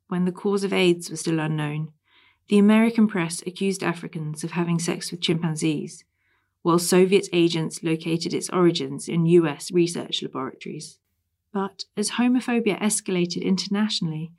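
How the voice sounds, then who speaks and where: A woman narrates a scripted essay. Her voice sits a little low for a woman, and her reading is measured and articulate. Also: darkish tone. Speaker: article reader.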